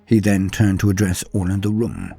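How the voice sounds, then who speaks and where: Male narrator for a military saga: low, mellow voice, grave measured delivery, faint music bed. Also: little pitch movement, husky. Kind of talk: dramatic scripted narration.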